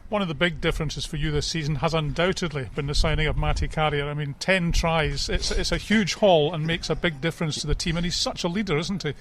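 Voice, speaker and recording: A man's voice: a little high, quick pace, few pauses, a bit bright. A man conversationally interviewing someone as a radio sports reporter before a match. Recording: faint outdoor background noise.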